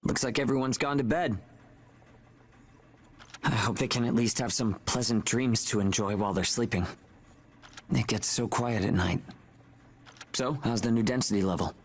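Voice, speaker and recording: A man playing a quietly defiant, weary young soldier in a video game, speaking in an earnest, measured way. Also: medium pitch, husky. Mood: reflective, hopeful. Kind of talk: video game cutscene voice acting. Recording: music behind the voice.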